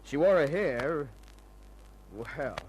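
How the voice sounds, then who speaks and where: A man's voice: on the high side, expressive, very slow, rough recording. An actor playing a wry, slangy hard-boiled investigator who narrates his own case in an old-time radio drama. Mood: wry narration. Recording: old radio recording, faint hum.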